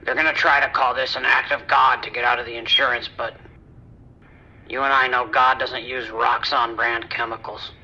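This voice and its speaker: A man's voice: mid-range voice, level tone, moderate pace, pushed and bright. An actor playing a trapped, sardonic working man making a bitterly resigned emergency call in an audio drama, through a phone filter. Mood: tense, bitter. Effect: phone or radio filter. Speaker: emergency caller. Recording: dull, band-limited emergency phone line.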